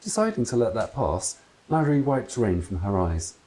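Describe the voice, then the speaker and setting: A man reads a children's story aloud in an expressive, deliberate storytelling manner. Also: lowish voice. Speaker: children's author reading aloud. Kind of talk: dramatic storybook narration. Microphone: clip-on lapel mic.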